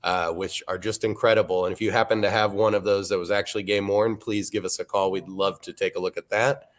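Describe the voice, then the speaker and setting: A man, hosting a live auction broadcast in a relaxed, wry, informative way. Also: on the low side, a little fast. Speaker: auction show host.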